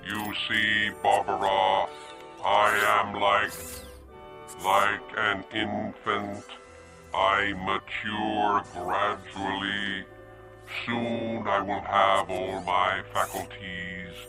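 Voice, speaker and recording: A literal, childlike male robot drones in a low, level tone that barely moves, each word laid down slowly and evenly. It speaks without feeling in a radio play with a music score underneath. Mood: insistent. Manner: flat, measured, emotionless delivery. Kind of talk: radio drama acting.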